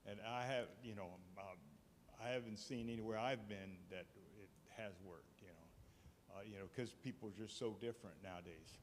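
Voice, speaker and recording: A man's voice: on the low side, moderate pace, a little hiss or hum. A retired military man from South Carolina, a resident asking questions at a public meeting and hesitating often. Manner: hesitant, trailing off, many fillers. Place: town meeting hall. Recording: long reverb of a meeting hall.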